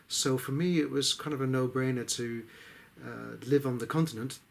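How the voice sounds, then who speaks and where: A middle-aged man from the of England, a business coach, telling his life story in a reflective way with frequent pauses and hesitations. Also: mid-range voice, a bit bright. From England, Exeter. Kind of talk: personal life story told to camera.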